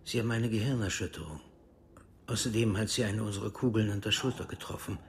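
Cool, low-voiced male officer. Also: creaky, a little hiss or hum. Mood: cool, formal. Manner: formal, measured, detached. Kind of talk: radio drama acting.

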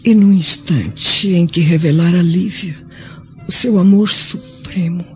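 A woman playing a dying, tender elderly noblewoman in a Brazilian radio drama, speaking solemnly and haltingly. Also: mid-range voice, a little mellow, lightly acted. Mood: tender, moved. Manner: slow, solemn, halting, with whispered weak phrases. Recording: muffled recording with faint music.

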